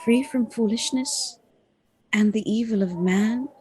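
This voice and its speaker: A woman's voice: on the high side, unhurried, a little mellow, slight background noise, lightly acted, low-quality recording. A woman playing a passionate, defiant and seductive vampire in a radio drama, with an intense theatrical delivery.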